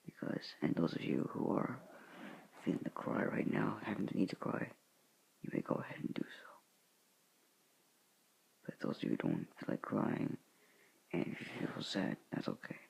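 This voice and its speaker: A teenage boy talking to his viewers in a soft, hushed, halting whisper, earnest and sorrowful in tone. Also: sits low, wildly swooping pitch, vocal fry, slightly rough, poor audio. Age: teens. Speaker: student vlogger. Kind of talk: whispered somber address to viewers.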